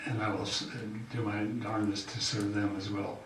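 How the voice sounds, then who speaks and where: An older man, an incumbent county official, giving a halting, folksy campaign speech full of fillers. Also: lowish voice, flat delivery. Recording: echoey hall from far off, faint hiss.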